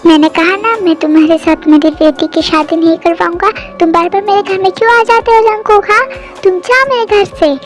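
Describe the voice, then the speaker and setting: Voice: very high voice, fairly even intonation, fairly quick, over music. A young performer voicing a stubborn middle-aged father who scolds and dismisses. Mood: stern.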